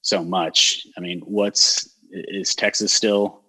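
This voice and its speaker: A man hosting a local talk show, speaking in a relaxed, rambling way with many fillers and restarts and a neutral American accent. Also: low-pitched, slow, breathy edge, low-quality recording. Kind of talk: casual interview chat.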